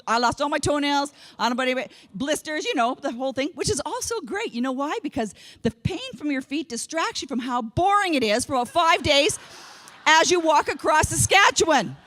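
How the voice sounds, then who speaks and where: Animated high-voiced woman keynote speaker. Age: 50s. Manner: animated, self-mocking, quotes herself in voices. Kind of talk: comedic keynote storytelling. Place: conference hall stage.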